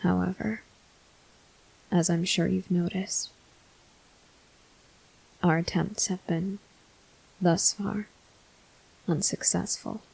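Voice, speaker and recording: A woman playing a brooding, guilt-ridden male vampire officer in an ASMR roleplay, whispering breathily in slow, halting, formal phrases. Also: on the low side, steady tone, creaky, a little mellow, slightly rough, exaggerated voice, poor audio. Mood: weary, grateful.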